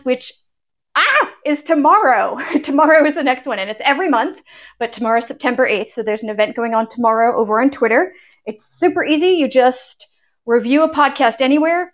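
A woman guest on a podcast, explaining her projects casually in a chatty, self-amused way over a slightly dull online call. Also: a little high. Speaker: podcast guest, podcast network founder.

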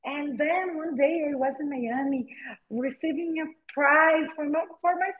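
Slow-speaking Brazilian-accented woman. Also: sits high, fairly bright tone, rough recording. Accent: Brazilian Portuguese. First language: Portuguese. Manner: hesitant, with frequent "uh" pauses and repeated words. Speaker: guest, attorney and novelist. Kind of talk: conversational interview.

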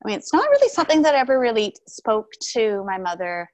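A woman in her forties from Taiwan, raised in Canada, speaking thoughtfully with many pauses and restarts as an interview guest. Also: fairly high pitch, huge pitch swings, a little mellow, no room sound. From Taiwan, raised in Vancouver, Canada. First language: Mandarin. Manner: thoughtful, with frequent ums and restarts. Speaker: guest, shamanic practitioner. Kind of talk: casual interview talk.

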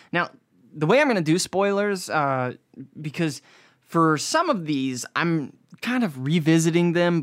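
A man's voice: medium pitch, a bit bright, smooth. A young American man hosting a podcast, giving a casual, rambling, self-deprecating solo review in informal language. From United States. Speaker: podcast host.

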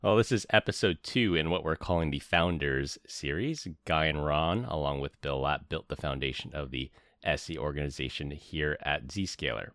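Very deep-voiced podcast host, dry sound. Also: male voice, normal speed, a bit bright, no room sound. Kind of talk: casual chat and scripted show intro.

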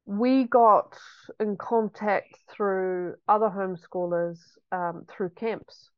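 A woman's voice: mid-range voice, fairly even intonation, slow, no room sound, dull audio. A woman, a homeschooling mother chatting reflectively in an interview with frequent ums. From New Zealand. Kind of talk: casual interview chat.

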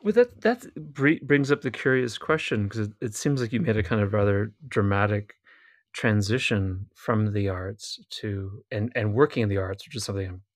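A man co-hosting a podcast interview, halting and teasing, often restarting his sentences. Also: on the low side, no echo, studio quality. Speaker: podcast co-host. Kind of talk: casual interview.

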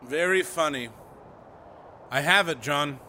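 An actor playing a brash, excitable, stubborn radio director in an audio drama, speaking with grand theatrical emphasis. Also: male voice, on the high side, slow pace, soft background music, full character voice. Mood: excited, visionary. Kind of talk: audio drama acting set in 1930s radio world.

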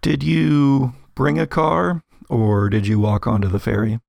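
Man, game master, slow atmospheric narration, gravelly, measured, medium pitch. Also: little pitch movement, a little mellow, no room sound, studio quality.

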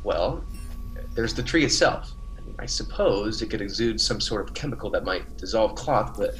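A man's voice: fairly low pitch, animated, moderate pace, a bit bright, a little hiss or hum. A man playing an earnest, defensive biologist on a colony planet in a classic radio play, emphatic and easily flustered. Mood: reasoning.

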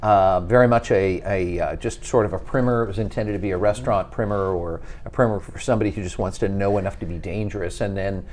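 A middle-aged wine writer, chatting as a guest and telling wry, animated stories. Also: male voice, deep voice, fast talker, hardly any pauses. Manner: animated storyteller, wry, mimics voices in quotes. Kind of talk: casual interview chat.

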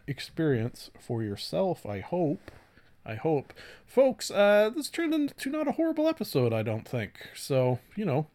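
A man hosting a solo podcast in a casual, rambling way, halting often, swearing lightly and putting himself down. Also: medium pitch, gravelly, darkish tone. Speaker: podcast host.

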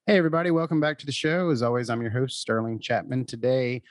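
A man's voice: mid-range voice, steady tone, fast, noisy background. Upbeat male podcast host. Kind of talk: podcast interview.

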